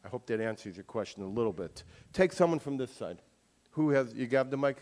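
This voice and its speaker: A man's voice: mid-range voice, expressive, fairly quick. A man giving an emphatic lecture as an author and taking audience questions. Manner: emphatic, forceful.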